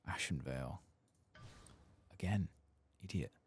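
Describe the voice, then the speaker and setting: A low-voiced man gives soft ASMR commentary on a game he is playing. He sounds tired and casual, muttering to himself with a heavy vocal fry and sometimes whispering. There is faint noise behind him. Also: takes it slowly. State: tired, late at night. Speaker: host of a game playthrough.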